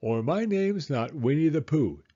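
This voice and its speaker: A man's voice: fairly high pitch, expressive, a little slow, dark tone, dull audio. A man voicing a friendly, earnest toy bear from a children's storybook, with a lilting delivery. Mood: self-assured.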